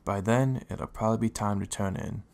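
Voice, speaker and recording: A gravelly, fairly low-voiced Pokémon leader, played by a man, speaks with fair-minded authority in an audio drama. He is reassuring and firm, with creaky edges to the voice. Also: fairly quick, darkish tone, close to an everyday voice. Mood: businesslike. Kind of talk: fan audio drama acting.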